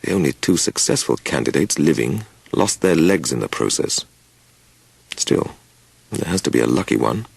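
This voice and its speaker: A man reading a first-person story as an audiobook narrator, with measured, deliberate phrasing and dramatic pauses. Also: a bass voice, sing-song, gravelly, breathy edge, dry recording.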